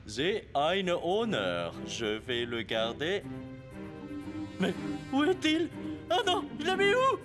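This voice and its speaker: A man's voice: high-pitched, huge pitch swings, slow pace, pauses often, over music, full character voice. A man voicing a dim, earnest, scatterbrained footballer in a French cartoon. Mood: solemn.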